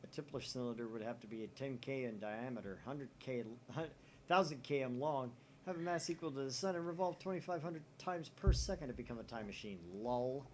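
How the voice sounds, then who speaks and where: A man's voice: medium pitch, brisk, a little mellow, a little hiss or hum. Man reading game text in shifting voices. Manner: reads chat posts in shifting voices, swears casually. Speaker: let's play host. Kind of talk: reading a visual novel aloud.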